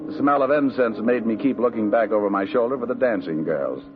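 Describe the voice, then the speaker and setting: An actor playing a wisecracking private detective who narrates in the first person, with dry, sarcastic quips in a 1950s radio drama. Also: male voice, on the low side, fairly quick, natural voice. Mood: sardonic narration. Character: wisecracking hard-boiled male private eye. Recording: tinny old radio broadcast with music.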